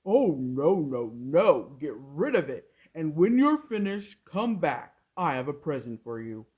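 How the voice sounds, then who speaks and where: A woman voicing a big, grumpy male crocodile in a storybook, with a loud, drawn-out delivery. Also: deep voice, big pitch swings, breathy edge, full character voice. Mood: relieved.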